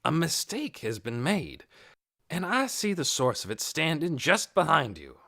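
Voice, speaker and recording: A man's voice: fairly high pitch, huge pitch swings, unhurried, gravelly, pushed and bright, pitched above the performer's own voice, exaggerated voice. A reader voicing a tall, stooped, pompous man who speaks formally and with indignation in an audiobook. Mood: haughty. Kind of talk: audiobook character voice.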